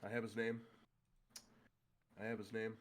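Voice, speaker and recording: A man's voice: on the low side, completely flat delivery, normal speed. A man with a stuffy, congested nose, recapping the last session as game master in a loose, joking way with many fillers. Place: room with friends at a table.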